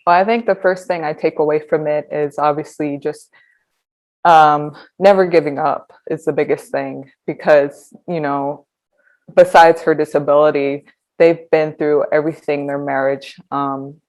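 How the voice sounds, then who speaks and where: A woman in her twenties, a podcast guest talking reflectively, pausing often with ums. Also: low-pitched, steady tone, darkish tone. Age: 20s. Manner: reflective, hesitant with frequent ums. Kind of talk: conversational interview.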